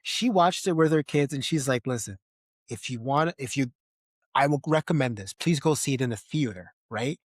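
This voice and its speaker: A man's voice: medium pitch, moderate pace, no echo, clean studio sound. A man hosting a podcast, discussing films casually and conversationally, often tagging his points with a questioning "right?". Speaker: podcast host.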